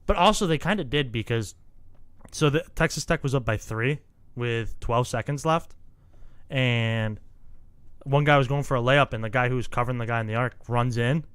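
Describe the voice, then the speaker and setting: A man's voice: mid-range voice, normal speed, a bit bright, smooth, no echo. A young man co-hosting a sports podcast, rambling in casual chat and laughing often. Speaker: podcast co-host.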